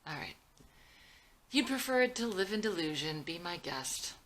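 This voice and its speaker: A woman reads the part of a seventeen-year-old boy in a film drama. He is blunt and teasing, easily exasperated, with a bright, forceful edge. There is noticeable room noise behind the voice. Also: on the low side, very animated, moderate pace. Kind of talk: dramatic script reading.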